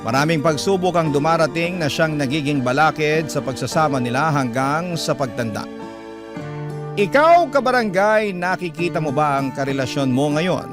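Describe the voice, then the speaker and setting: A man hosting and narrating a radio-style drama with a dramatic, drawn-out delivery. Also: fairly high pitch. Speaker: host and narrator. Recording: music bed under voice. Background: clear instrumental music.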